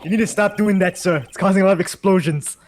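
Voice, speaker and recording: A man putting on a loud, eager detective sidekick. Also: high-pitched, fast, voice pushed up, close to an everyday voice.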